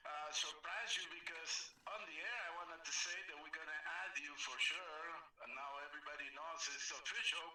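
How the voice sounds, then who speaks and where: A man's voice: fairly high pitch, a little fast, hardly any pauses, pushed and bright. An older man from Peru, a native Spanish speaker with a Peruvian accent, chatting casually as a caller to a radio show. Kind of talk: casual radio call-in chat. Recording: very echoey, distant call over crowd chatter.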